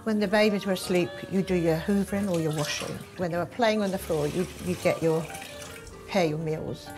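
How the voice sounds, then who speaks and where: A woman's voice: fairly low pitch, a little fast. Older woman, practical, matter-of-fact. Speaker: neighbour recalling the 1950s. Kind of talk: casual chat. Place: period-style kitchen, indoors. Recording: music under the voice. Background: soft music.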